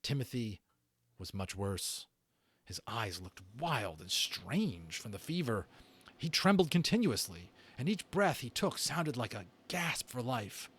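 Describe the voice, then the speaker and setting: A man narrating a children's book as an amateur reader, in a dramatic, deliberate storytelling style. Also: medium pitch, very animated, fairly bright tone, slightly rough.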